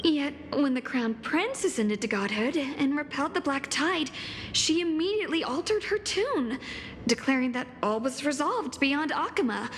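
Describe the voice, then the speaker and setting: A woman's voice: high-pitched, lively intonation, normal speed, slight background noise. A woman playing an earnest, courteous young student in a video game, speaking politely and formally. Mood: grave. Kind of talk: dramatic video game voice acting. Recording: reverberant hall, faint music.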